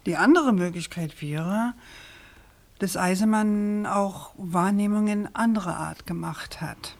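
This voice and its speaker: An actress playing a persistent, sceptical journalist in a radio crime drama, speaking in a probing, deliberate and emphatic way. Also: female voice, medium pitch. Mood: pensive, emphatic. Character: persistent, sceptical female journalist questioning a prosecutor.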